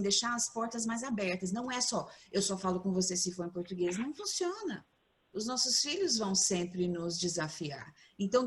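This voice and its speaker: A woman with a mid-range voice speaks earnestly on a video call as a mother and panelist. She talks in a reflective, considered way. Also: mid-range voice. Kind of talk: casual webinar talk.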